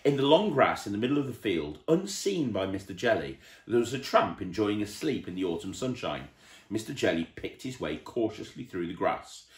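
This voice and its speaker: Very animated male storyteller, echoey room. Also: sits low. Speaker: children's entertainer reading a story. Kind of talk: animated children's storybook narration. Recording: roomy, echoey indoor sound.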